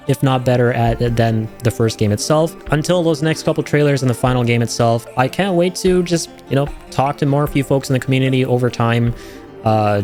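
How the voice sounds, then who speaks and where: A man's voice: medium pitch, darkish tone. A man giving casual, effusive and rambling reaction commentary as a video host, addressing his viewers directly.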